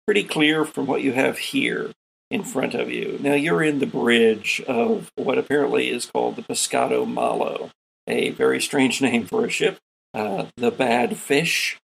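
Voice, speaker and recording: A man running a tabletop sci-fi game, narrating scenes in an improvised, deliberate and descriptive way as game master. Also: medium pitch, gravelly, slightly rough, rough recording.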